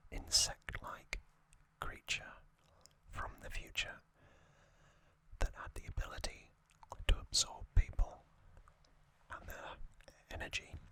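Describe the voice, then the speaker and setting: An ASMR host narrates trivia entirely in a whisper. The delivery is very slow and deliberate, broken into short phrases. The whisper is bright and sharp, and the voice sounds slightly strained. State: voice slightly off after singing hard.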